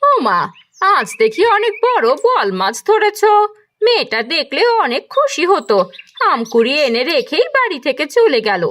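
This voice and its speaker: A woman voicing an excitable, grudging village wife in a cartoon, expressive and domineering. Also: sits high, moderate pace. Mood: pleased. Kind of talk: cartoon dialogue.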